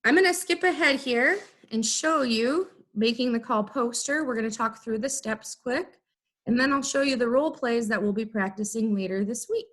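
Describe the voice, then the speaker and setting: A woman teacher giving an instructional lesson, explaining things in clear, simple, step-by-step phrases. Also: a little high, normal speed. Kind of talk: instructional video lesson for students.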